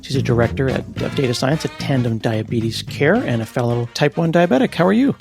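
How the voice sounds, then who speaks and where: A middle-aged man hosting a podcast interview, talking in a relaxed, thoughtful way with occasional restarts. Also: medium pitch, brisk, vocal fry, over music. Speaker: podcast host. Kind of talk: conversational interview.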